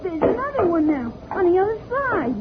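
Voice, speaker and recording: An actor playing an excitable, eager young boy space adventurer in a 1950s children's radio serial. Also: extremely high pitch, normal speed. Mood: excited curiosity. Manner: exclamatory, eager. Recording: noisy, narrow-band old radio recording.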